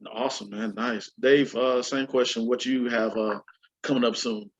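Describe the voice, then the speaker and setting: A man's voice: mid-range voice, fairly even intonation, moderate pace. A man hosting a podcast, chatting casually and encouragingly, often repeating his words, on slightly lo-fi audio. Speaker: podcast host.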